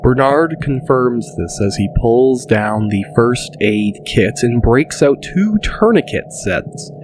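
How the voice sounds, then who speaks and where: A male narrator reads a dramatic fantasy audiobook. His delivery is slow and deliberate, at an average pitch, with music playing clearly behind him. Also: animated. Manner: deliberate, descriptive.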